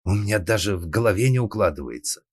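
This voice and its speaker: A man's voice: lowish voice, animated, fast talker, a little mellow, no room sound, studio quality, pitched above the performer's own voice. A man voicing a jealous middle-aged wife in a comic audiobook, shrieking, hissing and scolding. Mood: furious. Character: shrill jealous middle-aged wife, furious mistress of the house.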